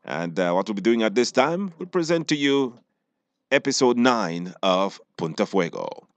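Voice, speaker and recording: A man's voice: on the low side, sing-song, normal speed, lo-fi sound. A man presenting on radio, narrating a recap of a serial episode.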